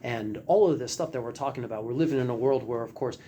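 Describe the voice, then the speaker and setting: A mellow-toned man presenting at a seminar thinks aloud through his ideas. He often answers the others with short listening murmurs. Faint noise sits behind his closer voice. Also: mid-range voice, quick pace. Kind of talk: seminar discussion. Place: lecture room.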